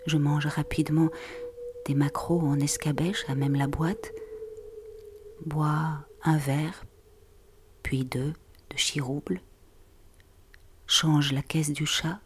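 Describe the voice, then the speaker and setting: A woman narrator reading a poetic, introspective literary monologue in a hushed, breathy way. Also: sits low, level tone, takes it slowly, pauses often, noisy background.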